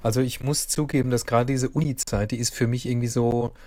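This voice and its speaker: A man's voice: medium pitch, steady tone, fast, mellow, no echo. Hesitant man from Mannheim, dialect tinge. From Mannheim region, Germany. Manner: hesitant, many fillers and restarts. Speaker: guest. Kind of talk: casual interview.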